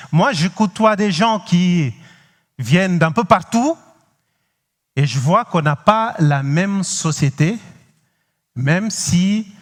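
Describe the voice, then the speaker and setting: Cape Verdean male pastor, non-native French speaker, unhurried halting sermon, long reverb of a large church. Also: high-pitched. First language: not French. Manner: halting, many pauses and restarts, addresses the congregation directly. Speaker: guest pastor.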